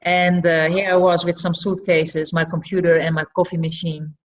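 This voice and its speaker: A middle-aged woman with a Dutch accent and Frisian as her mother tongue speaks in a lowish voice. She answers as an interview guest, reflective and often halting. The recording is a little dull and lo-fi. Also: level tone. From Friesland, northern Netherlands. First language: West Frisian. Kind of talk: conversational personal storytelling in an interview. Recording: slightly dull, lo-fi podcast audio.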